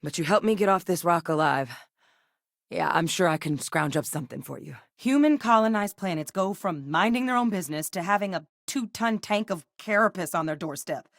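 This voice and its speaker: An actress voicing a capable, guarded military scientist in a video game, speaking in a measured, earnest, matter-of-fact way. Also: female voice, on the low side, expressive, dry recording, studio quality. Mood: measured, businesslike. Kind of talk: video game character voice lines.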